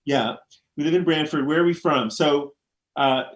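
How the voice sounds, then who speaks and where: A man who grew up in Oregon, a bookstore co-owner, answering interview questions thoughtfully with many pauses. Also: fairly high pitch. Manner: thoughtful, hesitant, many ums. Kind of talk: casual interview chat.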